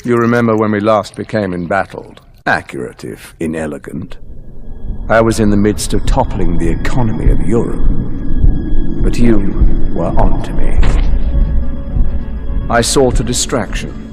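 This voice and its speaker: An actor playing an ancient, courtly mastermind villain, delivering a dramatic monologue in a formal, measured, grave manner. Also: male voice, on the low side, animated, lightly acted. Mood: grave, regretful. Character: ancient, courtly male mastermind villain, six centuries old. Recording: prominent music under the voice.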